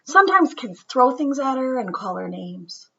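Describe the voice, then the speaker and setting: A woman storyteller reads a children's book aloud in a bright, husky voice that moves through wide swings of pitch. Her phrasing is deliberate and dramatic, dropping soft at times. The recording sounds slightly muffled. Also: very animated. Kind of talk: expressive read-aloud narration.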